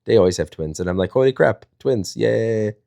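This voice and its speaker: A man's voice: on the low side, expressive, moderate pace, creaky, warm tone, dry recording, studio quality. A man, chatting and telling stories in a rambling, jokey way. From Wisconsin, United States. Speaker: storyteller and live panel host. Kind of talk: casual chat and storytelling.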